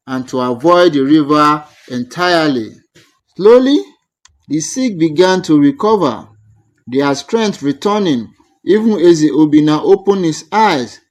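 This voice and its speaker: A male narrator tells a folktale with dramatic care. His voice sits fairly high and moves in very lively swings, while the delivery stays very slow and deliberate. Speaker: storyteller, narrator.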